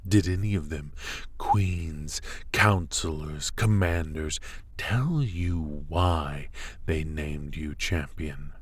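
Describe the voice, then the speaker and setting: A man playing a dark warlord sorcerer villain in an ASMR fantasy roleplay, commanding and coolly mocking, speaking in slow, silky, formal taunts with a husky, breathy voice. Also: very deep voice, heavily acted. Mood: cold, menacing.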